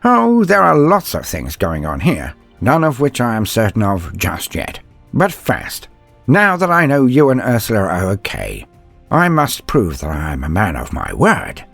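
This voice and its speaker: A man voicing a sardonic, unruffled inquisitor with a cruel streak, genteel and toying, in a dark sci-fi story. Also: lowish voice, wildly swooping pitch, measured pace, vocal fry, no room sound, faint music behind, full character voice. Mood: brisk, assured. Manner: breezy, genteel, toying with menace.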